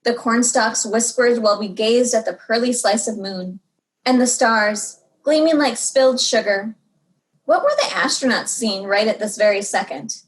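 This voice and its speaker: Female children's storyteller, higher register, expressive read-aloud with dramatic emphasis and drawn-out words. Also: rough recording.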